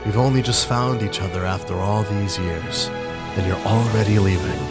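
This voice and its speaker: A weary, loyal hero played by a man in a fantasy game dub. His voice is fairly low and wistful, beneath loud background music. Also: fairly even intonation, normal speed, natural voice. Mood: dismayed.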